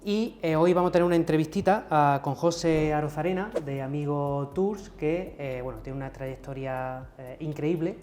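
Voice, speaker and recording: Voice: medium pitch, normal speed, hardly any pauses, a little mellow, faint music behind. An interview host, opening and leading the talk in a chatty, informal way. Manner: chatty, informal, many fillers. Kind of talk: casual interview hosting.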